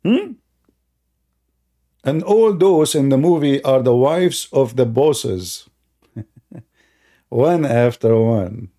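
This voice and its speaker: Man, mellow voice. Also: mid-range voice, lively intonation, very slow, pauses often. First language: Arabic. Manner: loose, talky storytelling with non-native grammar and frequent fillers. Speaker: commentator. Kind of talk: casual commentary while showing a video on screen.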